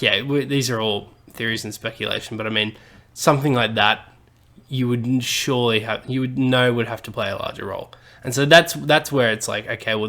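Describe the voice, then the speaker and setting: Animated man, rambling chat. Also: lowish voice. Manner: rambling, animated, swears casually. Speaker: podcast co-host. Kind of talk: casual chat.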